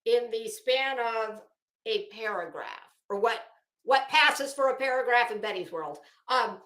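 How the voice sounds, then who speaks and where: Woman, high-pitched voice, roomy echo, sarcastic rant, mocking read-aloud, halting emphasis, profane. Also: a bit bright. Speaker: commentary vlogger. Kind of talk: mocking dramatic reading with running commentary. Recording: roomy, echoing room sound.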